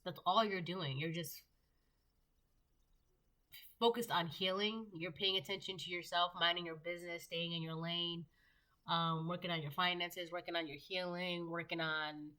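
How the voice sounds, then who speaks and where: Stuffy-nosed woman with a bright voice. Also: on the low side. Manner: rambling, loose, with many fillers. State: stuffy nose. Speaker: tarot reader. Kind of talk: casual tarot reading.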